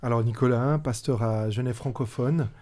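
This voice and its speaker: A man who is a pastor and student, chatting in a wry, relaxed way. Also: mid-range voice, moderate pace.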